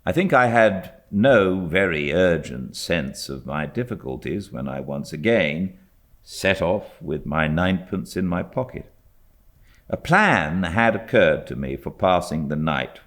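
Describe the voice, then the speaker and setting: Very deep, unhurried British male narrator. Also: lively intonation, a bit bright. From Britain. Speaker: audiobook narrator. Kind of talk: literary storybook narration.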